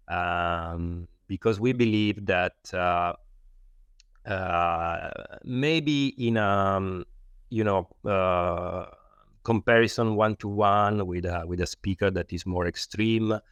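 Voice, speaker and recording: A man's voice: deep voice, fairly even intonation, slow pace, fairly bright tone, smooth, no echo, highs cut off. A man with an Italian accent, a non-native English speaker, giving explanatory interview answers and often searching for words. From Italy. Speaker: loudspeaker designer, interview guest.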